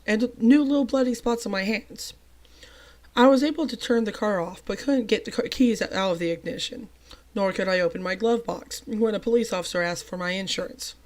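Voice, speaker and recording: Young storyteller with a cold-worn voice. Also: mid-range voice. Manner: chatty and self-deprecating, with lively emphatic bursts. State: recovering from a cold, voice a little off. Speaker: channel host telling a personal story. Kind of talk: storytime narration.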